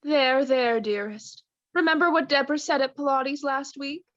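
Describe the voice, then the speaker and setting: Woman as a high-voiced, doting New Age wife. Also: a bit bright, dry recording, lo-fi sound. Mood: soothing, doting. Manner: affectedly soothing therapy-speak, drawn-out. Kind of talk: student radio play acting.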